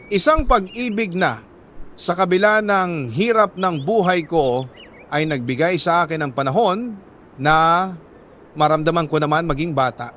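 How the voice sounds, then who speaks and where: A Filipino man reads a first-person letter as dramatic scripted narration, in short, measured phrases. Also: on the high side, fairly bright tone.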